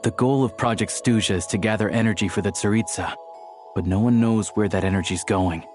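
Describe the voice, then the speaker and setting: Guy voicing a level-headed young game character, kinda low, earnest n measured, explains stuff, bit of music under it. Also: darkish tone, close to an everyday voice. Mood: grave, explaining. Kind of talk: video game voice acting.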